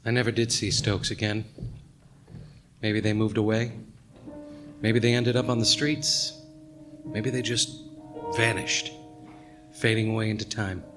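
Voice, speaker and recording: A man's voice: fairly low pitch, flat delivery, measured pace, pauses often, close to an everyday voice. A man playing a wistful narrator looking back, in scripted radio drama narration. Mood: mournful. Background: plucked guitar music.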